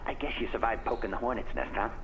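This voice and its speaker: An actor playing a paranoid, rambling conspiracy theorist who stammers excitedly through a game monologue over a phone-like radio link. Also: male voice, medium pitch, fast. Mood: wry, uneasy. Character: jittery, paranoid conspiracy-theorist man, rambling and morbidly wry. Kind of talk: video game character monologue over a call. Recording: noisy radio or telephone line.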